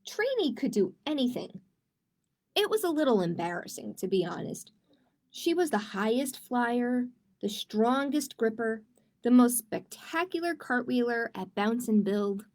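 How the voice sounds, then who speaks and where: Slow, high-voiced woman hosting storytime. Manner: expressive, chatty, addressing young children with questions. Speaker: children's librarian and storytime host. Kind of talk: children's storytime, read-aloud with asides to viewers. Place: livestreamed storytime.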